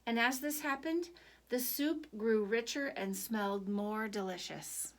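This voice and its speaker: A woman storyteller reading a picture book aloud for children in an expressive, animated way. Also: a little high, a little slow.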